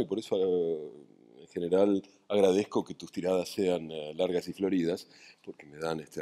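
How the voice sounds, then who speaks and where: Middle-aged Argentine man, low dark voice, deadpan, halting, seated stage panel. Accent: Argentine Spanish. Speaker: festival panelist, writer. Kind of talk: onstage conversation.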